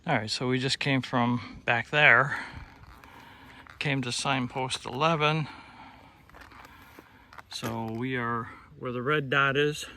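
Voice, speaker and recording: Guy talking slow while filming a hike, bright voice, some outdoor noise behind him. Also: medium pitch, fairly even intonation. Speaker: hiking vlogger. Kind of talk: casual trail narration. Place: outdoors on a forest trail. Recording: outdoor recording with clear background noise. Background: outdoor nature ambience.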